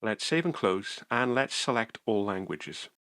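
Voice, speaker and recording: A man calmly narrating a software tutorial screencast, explaining each step methodically. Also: on the low side, measured pace, no echo, clean studio sound.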